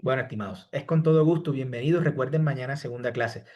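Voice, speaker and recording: A man's voice: mid-range voice, fast talker, dull audio. A man teaching a live online class, answering students from the chat by name and ending nearly every point with "¿sí?" and "estimados". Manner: repeats "¿sí?" and "estimados" after each point. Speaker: online course instructor.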